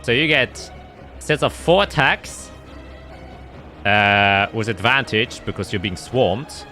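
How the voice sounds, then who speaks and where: A man's voice: lowish voice, animated, slow pace, bright, forceful tone, soft background music. A man narrating a tabletop roleplaying game as game master, describing scenes and calling out dice results.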